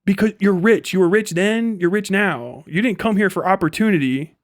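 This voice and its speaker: A high-voiced American man delivers an off-the-cuff livestream rant, recorded dry and close with very little room sound. He is blunt and rambling, swears freely, and talks in an animated, conversational way. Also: normal speed, dry recording. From United States. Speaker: livestream host. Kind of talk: casual ranting livestream commentary.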